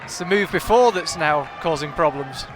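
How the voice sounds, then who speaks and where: A man with a fairly high voice calls a climbing competition live, chatty and analytical. He often restarts his sentences as he works through the moves. A loud crowd fills the big hall behind him. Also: expressive, normal speed, over music. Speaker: climbing commentator. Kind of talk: live sports commentary.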